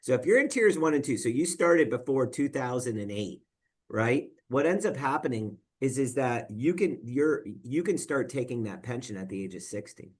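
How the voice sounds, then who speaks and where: A man's voice: medium pitch, lively intonation, normal speed, breathy edge. A man presenting as a financial advisor, explaining step by step in a conversational way and often checking in with "right?". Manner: walks through worked examples step by step.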